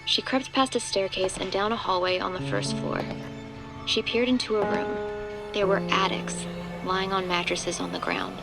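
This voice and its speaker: A woman's voice: medium pitch, animated, normal speed, natural voice. A woman reading scripted narration for a horror audio drama. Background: background music.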